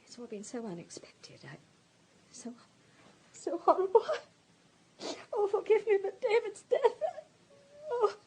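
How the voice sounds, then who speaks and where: An actress playing a fragile, distraught countess of thirty-nine in a radio drama, halting, emotional and apologetic. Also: female voice, very high voice, huge pitch swings, warm tone, exaggerated voice. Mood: shaken, apologetic. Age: 30s.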